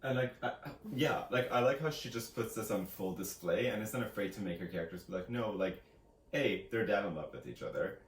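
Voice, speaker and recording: A man's voice: lowish voice, animated, a little fast. A man co-hosting a casual book chat, retelling things in a rambling way and often acting out quotes. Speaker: co-host of a book review channel. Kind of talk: casual chatty book discussion. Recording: roomy echo, distant mic, faint hiss.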